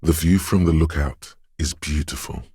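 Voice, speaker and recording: A gravelly, abyss-deep inner voice. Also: male voice, no room sound, studio quality, heavily acted. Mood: ominous. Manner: slow, solemn, cryptic, hushed intensity. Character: ominous inner voice of intuition and dreams, brooding. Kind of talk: dramatic game narration.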